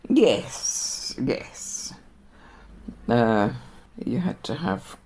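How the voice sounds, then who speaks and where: A woman's voice: rumbling low, big pitch swings, very slow, mellow. Older Austrian woman reminiscing. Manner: hesitant, many fillers and restarts. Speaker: interviewee. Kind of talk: reminiscing interview.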